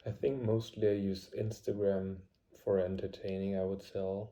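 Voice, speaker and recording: A man's voice: sits low, little pitch movement, moderate pace, dark tone, a little hiss or hum. A man in his twenties from Germany giving casual answers in an interview. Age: 20s. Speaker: student interviewee.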